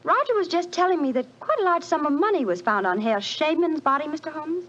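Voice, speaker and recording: A bubbly young wife. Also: female voice, sits high, expressive, brisk, a bit bright. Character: lively, devoted young wife, woman. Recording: muffled 1940s radio broadcast with faint hiss.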